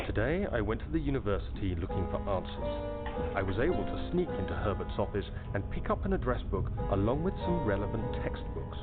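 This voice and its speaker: Troubled young game gentleman: formal, reflective, lowish voice, lively intonation, clear music underneath. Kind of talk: video game acting, diary narration. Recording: narrow-band game audio with music.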